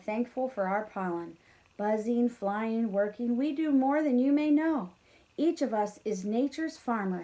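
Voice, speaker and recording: Expressive woman reading aloud, higher-pitched voice. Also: poor audio. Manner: expressive, encouraging, talks directly to young listeners. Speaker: teacher reading a bedtime story to pupils. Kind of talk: animated children's read-aloud with casual chatty asides.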